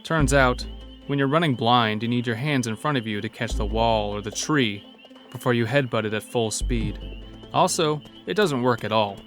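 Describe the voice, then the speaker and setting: A man's voice: mid-range voice, moderate pace, fairly bright tone, close to an everyday voice. A man acting as a hunted logger narrating an audio horror drama in a confessional, wry way. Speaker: narrator and protagonist. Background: music.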